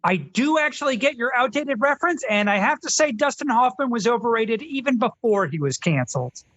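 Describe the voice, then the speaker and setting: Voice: medium pitch, lively intonation, a little fast, a bit bright, low-quality recording. An actor playing a sarcastic, know-it-all film critic in their twenties in a scripted comedy radio skit. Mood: snarky. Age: 20s. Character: snarky, earnest twenty-year-old Zoomer film critic.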